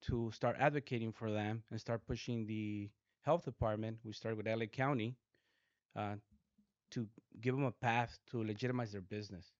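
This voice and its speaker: A man from Mexico in his forties, a guest engineer speaking softly and hesitantly, with many fillers. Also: lowish voice, steady tone, normal speed, dry recording. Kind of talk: casual interview talk. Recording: dull dry podcast audio.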